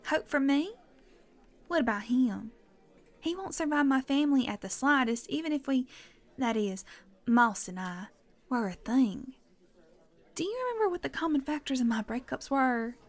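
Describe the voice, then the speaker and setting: A woman's voice: sits high, expressive, moderate pace, long pauses. An actress playing a guarded, self-doubting single woman in her thirties, defensive and emphatic, in a conversational audio drama. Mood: defensive, resigned.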